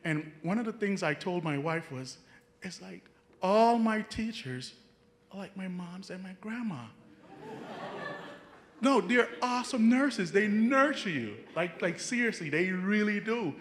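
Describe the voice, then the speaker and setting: A man's voice: sits high, expressive, a bit bright, a little hiss or hum. Jamaican man telling his story. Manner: earnest, halting, frequent restarts, chuckles at his own stories. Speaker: nursing student. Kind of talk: personal story talk to an audience.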